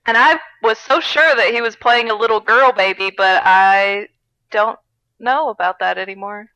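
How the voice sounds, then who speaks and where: A woman's voice: medium pitch, normal speed, bright, forceful tone, no echo, low-quality recording. A woman co-hosting a podcast in casual comedic banter, playful and self-deprecating with dry jokes. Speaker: podcast co-host.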